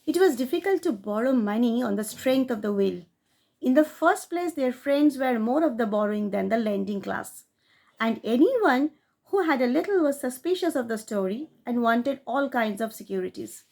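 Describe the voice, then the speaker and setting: A woman reading a classic short story aloud, in a steady storytelling manner that rises for emphasis. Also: fairly high pitch, moderate pace. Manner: steady storytelling read with rising emphasis on key phrases. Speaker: audiobook reader.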